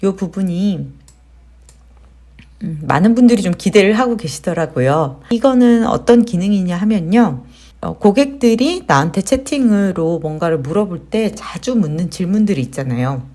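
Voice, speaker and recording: A woman hosting a casual step-by-step software tutorial, explaining conversationally and putting questions to her viewers. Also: mid-range voice, expressive, normal speed. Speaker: tutorial host, digital marketer.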